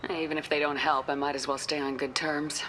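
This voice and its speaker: Give the game's heroine a low, assertive woman's voice. She is a resolute soldier who talks directly and without fuss. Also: level tone, brisk, a bit bright, slight background noise. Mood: businesslike. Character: resolute female space-soldier hero, no-nonsense. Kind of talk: video game dialogue acting.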